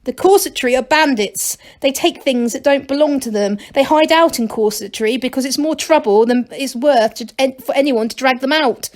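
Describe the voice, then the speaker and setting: Woman as a knowing old gnome, high-pitched. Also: moderate pace, few pauses, lightly acted. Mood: amused. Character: knowing, amused, sheepish old male gnome guide.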